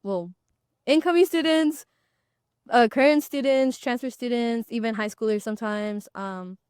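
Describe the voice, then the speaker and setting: Young woman, college podcast co-host, smooth clear voice, easygoing, close dry sound. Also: on the high side, moderate pace, a little mellow, no echo. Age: young adult. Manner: friendly, easygoing, light laughs. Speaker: podcast co-host, student ambassador. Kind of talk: casual chat.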